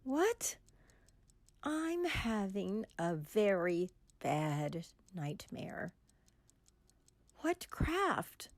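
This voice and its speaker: A woman's voice: medium pitch, sing-song, extremely slow, pauses often, full character voice. A woman playing a frightened, lonely young woman, anxious and pleading in a radio drama.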